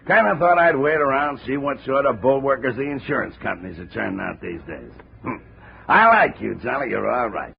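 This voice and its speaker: A gravelly old railroad bull. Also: male voice, medium pitch, lively intonation, fairly quick. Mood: amused. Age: older. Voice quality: gravelly, heavy railroad slang. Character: gruff aging railroad detective, blunt and folksy. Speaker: railroad cop. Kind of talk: 1950s radio drama acting. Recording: dull old radio transcription with faint hiss.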